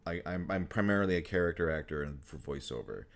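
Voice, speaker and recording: A young man with a very low, deep voice hosts a relaxed interview. He talks in halting bursts, full of restarts and fillers, as he gathers his thoughts. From United States. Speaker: host, voice actor. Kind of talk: casual interview chat.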